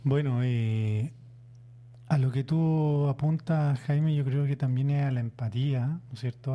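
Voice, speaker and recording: A man, a psychologist guest explaining calmly on radio, with many hesitant fillers. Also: mid-range voice, dull audio. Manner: measured, many "eh" fillers and "¿no es cierto?" tags.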